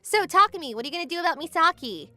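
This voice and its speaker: A woman playing a tsundere teenage girl in a romcom manga dub, expressive and huffy, a childhood friend in denial. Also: very high-pitched, racing, soft background music, full character voice. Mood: curious.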